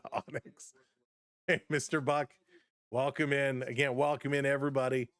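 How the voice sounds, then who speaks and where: A man hosting a casual live-stream baseball play-by-play, chatty and upbeat as he greets viewers, his voice slightly hoarse from overuse. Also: mid-range voice, level tone, a little slow, a bit bright, no echo. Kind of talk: casual play-by-play with chat reactions.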